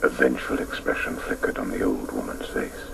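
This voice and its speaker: A man playing a grave narrator who recalls a childhood tale in a radio drama, hushed and measured. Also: extremely low pitch, fairly even intonation, gravelly, background noise, exaggerated voice. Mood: grave, reflective. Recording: music under the voice.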